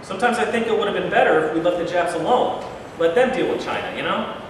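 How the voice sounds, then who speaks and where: A man's voice: high voice, fast, close to an everyday voice. A man in a staged reading playing an irritable, plain-spoken American president with a blunt, impatient manner. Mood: troubled. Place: live reading in a large echoing room. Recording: very echoey noisy hall, from far off.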